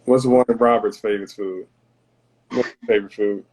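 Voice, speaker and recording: A man's voice: lowish voice, steady tone, fairly quick, darkish tone, low-quality recording. A man from North Carolina, a podcast guest talking in an earnest, philosophical, somewhat preachy way. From Tarboro, North Carolina. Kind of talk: reflective conversational talk.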